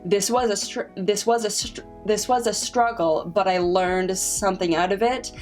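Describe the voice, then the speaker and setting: A woman's voice: mid-range voice, a little mellow, faint music behind. A woman in her twenties with a stutter, talking casually and reflectively to camera as a vlogger, with frequent repeated sounds and restarts. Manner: slow, reflective and encouraging.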